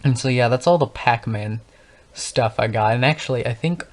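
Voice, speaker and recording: A man's voice: mid-range voice, normal speed. Young man rambling and stuttering over gameplay. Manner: stutters and stumbles over words; rambling, thinks aloud with many fillers. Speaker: gaming channel host. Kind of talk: unscripted casual talk over gameplay.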